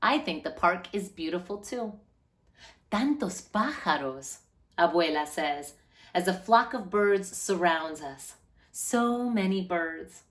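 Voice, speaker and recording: A woman reading a children's picture book aloud as a storyteller, with animated, deliberate phrasing and drawn-out words. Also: lowish voice.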